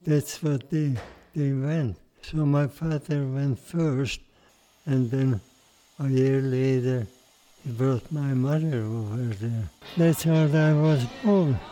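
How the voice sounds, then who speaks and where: An older man, telling his life story in an interview in a halting way, with many restarts and repeated words. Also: medium pitch, fairly even intonation, mellow, poor audio. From Mexico, raised in Hungary. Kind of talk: recorded interview.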